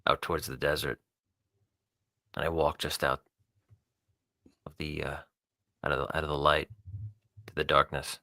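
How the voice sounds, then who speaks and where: A man with an extremely deep, gravelly voice and a very sharp, forceful edge. He narrates a roleplay scene slowly, in moody fragments. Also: level tone, dry recording, studio quality. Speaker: roleplay player. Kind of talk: moody, unscripted first-person narration of a tabletop roleplay.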